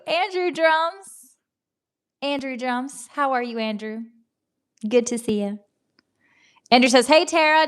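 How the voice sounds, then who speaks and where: A woman's voice: high-pitched, a bit bright. A woman in her thirties from Tennessee with a Southern American accent, hosting a casual livestream, chatting, laughing often and greeting viewers by name. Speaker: livestream host. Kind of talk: casual chat reading comments.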